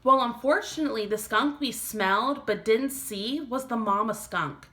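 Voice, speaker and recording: A woman voicing a gentle but firm mother who explains things in a storybook read-aloud. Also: fairly high pitch, moderate pace, pitched above the performer's own voice. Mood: explaining.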